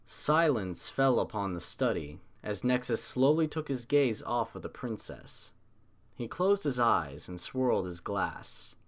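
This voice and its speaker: A man narrating a scripted fantasy story in a dramatic audiobook style. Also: mid-range voice.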